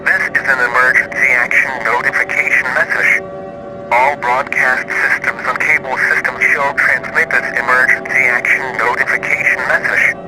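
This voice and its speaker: A man's voice: mid-range voice, steady tone, moderate pace, pauses often, music in the background, poor audio. A man reading a formal scripted emergency announcement as a broadcast announcer.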